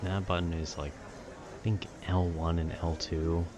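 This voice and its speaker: A man's voice: deep voice, little pitch movement, unhurried, over music. A man rambling casually and conversationally about video games in a nerdy, meandering way. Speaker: content creator.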